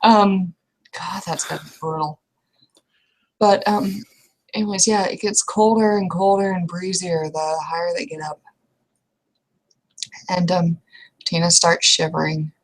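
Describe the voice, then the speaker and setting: A woman's voice: on the low side, fairly even intonation, measured pace, long pauses, low-quality recording. A woman improvising narration for a roleplaying game in an informal, hesitant way.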